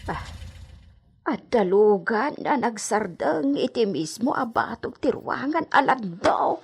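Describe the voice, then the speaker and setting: A woman's voice: on the high side, expressive, slow, creaky, a little hiss or hum, exaggerated voice. A woman playing an anxious, easily flustered country woman in a radio drama, exclaiming and muttering to herself. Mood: worried.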